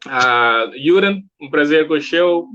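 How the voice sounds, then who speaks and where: A man's voice: on the high side, expressive, takes it slowly, pushed and bright. A man with a Cape Verdean accent hosting a casual live online interview in an informal, welcoming way. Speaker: host. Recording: dull, lo-fi live stream sound.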